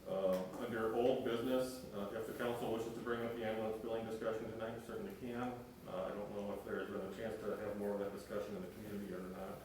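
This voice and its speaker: A man giving a city administrator's report to a council, steady and full of "uh" fillers. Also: lowish voice, quick pace, hardly any pauses. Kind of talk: meeting report. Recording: echoey council chamber from far off, noisy.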